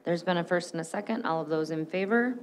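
A woman chairing a school board meeting, brisk and procedural, on a desk microphone in a boardroom. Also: lowish voice, slight background noise.

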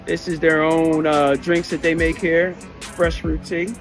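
A man's voice: fairly high pitch, little pitch movement, unhurried, music in the background. A man in his fifties chatting casually as he reviews a restaurant buffet, listing dishes enthusiastically. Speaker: food vlogger. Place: indoor restaurant booth. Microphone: clip-on lapel mic.

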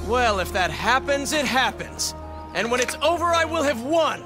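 An actor playing a cocky, hot-headed young warlord of ancient China in a dramatic video game dub. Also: male voice, very high-pitched, animated, moderate pace, over music. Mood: confident. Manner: informal, cocky, eager.

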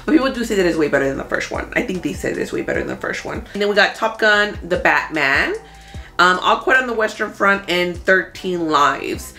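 A woman in her thirties talking casually as a movie vlogger, thinking out loud in an informal, conversational way. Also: fairly low pitch, animated, a little fast. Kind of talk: casual chatty commentary. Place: small room at home. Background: background music.

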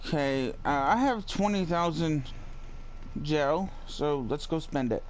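A man's voice: fairly high pitch, lively intonation, slow pace, a little hiss or hum, rough recording. A man giving casual, sweary game commentary in a laid-back way, often muttering to himself. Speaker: gamer recording a playthrough.

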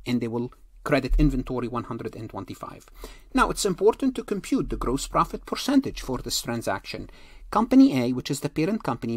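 A man's voice: fairly high pitch, breathy edge, no room sound. A man giving an explanatory exam-prep lecture as an accounting instructor, emphatic and repeating phrases for clarity, on a close mic.

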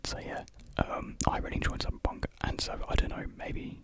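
A young man speaks entirely in a soft, airy whisper, right up against a condenser microphone in a small room. He rambles informally, as in a relaxed ASMR chat between friends. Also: fairly quick. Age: young adult. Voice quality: soft breathy unvoiced whisper. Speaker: ASMR creator. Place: small indoor room with painted block walls. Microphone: large desk condenser mic close to the mouth.

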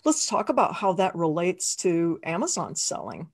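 A woman's voice: lowish voice, sing-song, normal speed. A woman hosting an interview over a video call, encouraging her guest with short affirmations. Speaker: host, interviewer. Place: home office.

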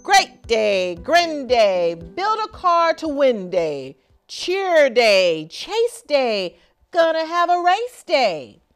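Slow, very animated woman reading aloud. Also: high voice, faint music behind. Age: middle-aged. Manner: deliberate, emphatic, theatrical. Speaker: school superintendent reading aloud to children. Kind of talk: expressive children's picture-book read-aloud.

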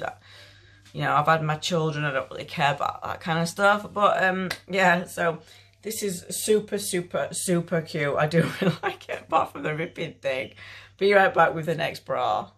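A woman's voice: lowish voice, fairly bright tone. A woman in her thirties with a British accent, giving a casual, rambling try-on review with plenty of swearing. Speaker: fitness clothing reviewer. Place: small bedroom at home.

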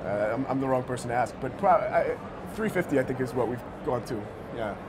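A man's voice: mid-range voice, animated, a little fast. An American man in his thirties answering interview questions casually, in informal, slangy speech. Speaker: company co-founder being interviewed. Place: sneaker shop showroom, indoors. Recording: clear background noise.

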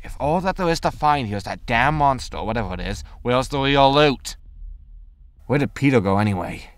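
A man playing a greedy, hapless spaceship scavenger who mutters excitably to himself in a sci-fi comedy audio drama. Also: medium pitch, a little fast, dry recording, natural voice. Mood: frustrated. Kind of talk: science fiction comedy audio drama acting.

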